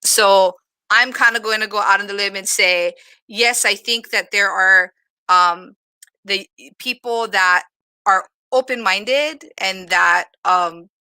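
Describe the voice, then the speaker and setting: A woman's voice: medium pitch, takes it slowly, pushed and bright, smooth, no room sound. A woman speaking earnestly and personally as a moderator in a reflective panel discussion.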